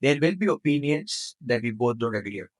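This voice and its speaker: A man in his twenties hosting a podcast, talking loudly and haltingly with frequent restarts. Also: medium pitch, lively intonation, dry recording. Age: 20s. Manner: halting, restarts often, loud speaker. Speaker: podcast host. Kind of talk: podcast interview chat.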